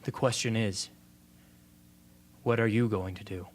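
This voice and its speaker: A man's voice: fairly low pitch, steady tone, a little slow, slight background noise, lightly acted. A man playing an earnest, defiant young rebel and loyal friend in an amateur radio drama. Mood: grave, intense.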